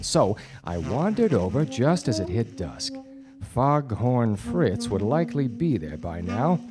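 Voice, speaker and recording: A wry, self-important private eye from a 1940s radio play. His male voice sits at a middle pitch and swings expressively through deadpan noir narration. Music plays clearly behind him. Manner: deadpan, theatrical. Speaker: detective and narrator. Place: theatre stage before studio audience.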